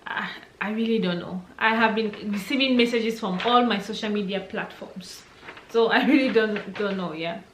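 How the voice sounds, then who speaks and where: A woman's voice: mid-range voice, normal speed. Young woman vlogging, chatty and encouraging, echoey room w/ a bit of hiss. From Cameroon, English-speaking region. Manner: chatty and encouraging, thinking aloud. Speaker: vlogger answering viewer questions. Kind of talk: casual Q&A chat. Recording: echoey room with faint noise.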